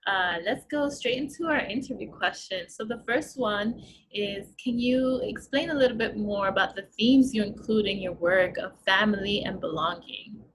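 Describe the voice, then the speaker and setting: A woman's voice: mid-range voice, normal speed, fairly bright tone, slight background noise, poor audio. A woman hosting an online interview, politely asking questions and thanking her guest over a video call. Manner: polite, appreciative. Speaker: interviewer host.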